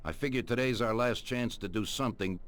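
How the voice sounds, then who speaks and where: An actor playing an ageing, ruthless American mafia don in a video game, speaking with deliberate authority. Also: male voice, medium pitch, fairly bright tone, close to an everyday voice. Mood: grave, commanding. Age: older. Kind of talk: dramatic video game voice acting. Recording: music behind the voice. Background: background music.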